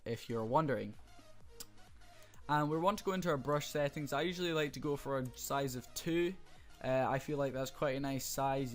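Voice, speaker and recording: A young man with a mid-pitched, slightly hoarse voice walks through a software graphics tutorial. He talks loosely and casually, filling pauses with "uh" as he works. Soft music plays faintly underneath. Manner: casual, explanatory, many "uh" fillers. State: slightly hoarse, losing his voice. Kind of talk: screen-recorded software tutorial.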